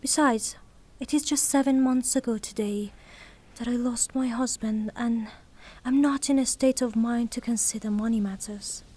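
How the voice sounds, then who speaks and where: A woman performs a melodramatic, well-to-do young widow in a stage-style audio play. Her voice is very high, and her delivery is formal and proud, with a haughty edge. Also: steady tone, normal speed, dark tone, close to an everyday voice. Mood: cool, formal. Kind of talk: theatrical audio drama acting.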